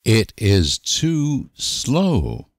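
A man reading short example sentences for language learners with deliberate, careful enunciation. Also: medium pitch, huge pitch swings, dry recording, studio quality. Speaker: language teacher.

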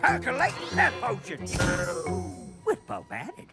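A man's voice: very high-pitched, huge pitch swings, slow pace, over loud music, heavily acted. An actor playing a cranky, superstitious older witch doctor in a cartoon, theatrical and indignant. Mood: competitive. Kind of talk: cartoon voice acting.

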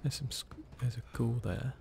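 A British man giving casual, hushed ASMR commentary on a video game, grumbling mildly as he plays. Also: medium pitch, steady tone, normal speed, creaky, noisy background. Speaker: gaming video host.

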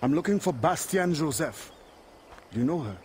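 A man's voice: fairly high pitch, animated, moderate pace, darkish tone, slight background noise, lightly acted. A man playing a stern, formerly enslaved assassin and sea captain in a video game, speaking in a grave, formal way. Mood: earnest, calm. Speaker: video game character actor. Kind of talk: dramatic cinematic game acting.

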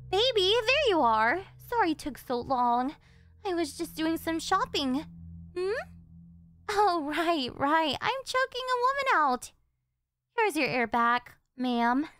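A woman's voice: very high voice, big pitch swings, slow pace, a bit bright, exaggerated voice. An actress playing a clingy, possessive delinquent girlfriend in an ASMR roleplay, sweet and doting with a menacing edge. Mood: fake cheerful. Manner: doting, pleading, swinging into threats.

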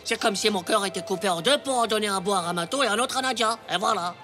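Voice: medium pitch, fast, natural voice. Grown-up doing cocky cartoon kid, about ten, emphatic and whiny-indignant, music under it. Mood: boastful. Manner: emphatic, childish slang, indignant. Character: boastful, scheming, excitable ten-year-old schoolboy. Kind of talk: French animated comedy acting.

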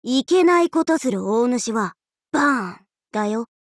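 A woman voicing a cheerful, teasing young festival-loving swordswoman in an anime mobile game, with a bubbly, playful, drawn-out delivery. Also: a little high, lively intonation, no room sound, clean studio sound. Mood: teasing, flustered.

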